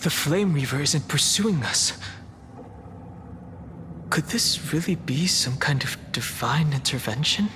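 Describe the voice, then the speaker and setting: An actor playing a cautious, level-headed young adventurer in a video game, serious and restrained in delivery. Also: male voice, on the high side, expressive, slow, long pauses, background noise. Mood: pensive, decisive. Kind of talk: scripted video game voice acting.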